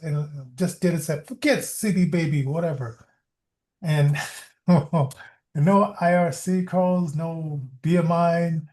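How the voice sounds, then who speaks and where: A middle-aged or older man rambling casually as an online radio host, halting and repeating words, often chuckling. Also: on the high side, mellow. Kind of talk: casual rambling livestream talk.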